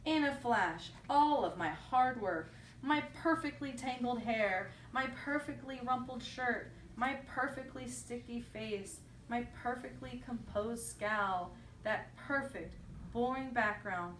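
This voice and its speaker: A woman's voice: a little high, takes it slowly, fairly bright tone. A woman reads a picture book to young children like a teacher, stopping to prompt them with questions and echo their replies. She is recorded at some distance in a roomy, echoing space with faint background noise. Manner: prompting, repeats children's answers back.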